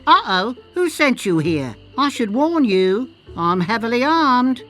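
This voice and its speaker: A woman's voice: fairly high pitch, sing-song, a little slow, a bit bright, soft background music. An actress playing a cagey, knowing woman cartographer in a pirate video game, chatty and conspiratorial. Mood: suspicious. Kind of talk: video game dialogue acting.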